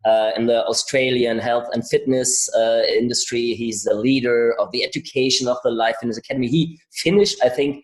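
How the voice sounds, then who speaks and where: A man's voice: medium pitch, fairly even intonation, fast, hardly any pauses. German man hosting in a roomy echo. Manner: hesitant, many fillers and restarts in English. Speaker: podcast host. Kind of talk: interview hosting.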